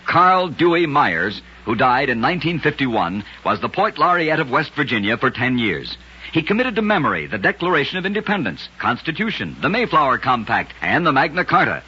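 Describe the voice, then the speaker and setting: A man's voice: mid-range voice, very animated, fairly quick, sharp tone, breathy edge, lo-fi sound. A man hosting an old-time radio show, narrating scripted oddities in a dramatic, emphatic way on a vintage recording. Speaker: radio storyteller and host.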